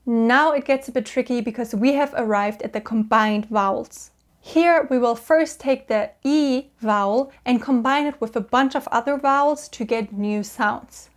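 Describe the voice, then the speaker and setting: A young woman with a German accent, patiently explaining Korean vowels and repeating each sound slowly. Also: on the high side. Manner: cheerful, patient, drawn-out sounds for repetition. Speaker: Korean language teacher.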